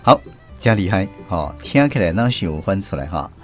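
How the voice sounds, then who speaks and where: A low-voiced Taiwanese man hosts a radio quiz segment. He addresses listeners and students in a presenter's style, with faint music behind him. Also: big pitch swings, moderate pace. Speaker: host of a language quiz radio show.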